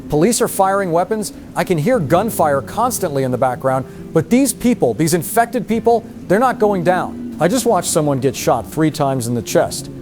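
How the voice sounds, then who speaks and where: Shaken, higher-pitched field reporter. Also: male voice. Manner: halting, alarmed. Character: shaken male field reporter witnessing horror. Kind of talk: dramatized live news report.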